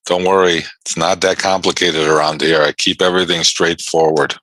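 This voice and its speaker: A man from Chicago hosting a trading live stream, chatting casually with viewers and laughing often in a quiet room. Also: sits low, little pitch movement, moderate pace, no room sound, poor audio. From Chicago North Side. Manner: laid-back, laughs often, reads and answers chat.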